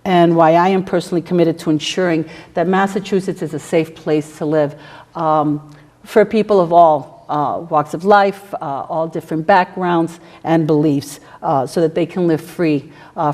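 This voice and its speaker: A middle-aged woman whose first language is Spanish, giving a prepared speech to a campus audience in a measured, formal way with frequent "uh" fillers. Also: sits low, fairly even intonation, darkish tone. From New York City. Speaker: guest speaker, federal prosecutor.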